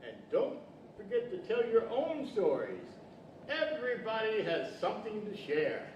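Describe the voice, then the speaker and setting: A man's voice: sits high, very animated, moderate pace, darkish tone. An older man playing a kindly, formal, theatrical wizard and wise professor in a radio-style stage play. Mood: kindly, instructive. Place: large library event room, standing microphone. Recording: distant, dull, echoey hall with hiss.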